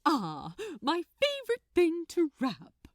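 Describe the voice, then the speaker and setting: A woman's voice: extremely high pitch, huge pitch swings, slow, dry recording, studio quality, voice pushed up, full character voice. A woman voicing a sweet, polite, prim and cheerful man with a genteel manner in a fan fiction audiobook. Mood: cheerful, helpful.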